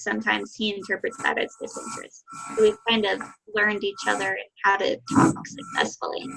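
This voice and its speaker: A young woman giving a class presentation over a video call, with a high voice and a slight lisp. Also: gravelly, background noise. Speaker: student presenter.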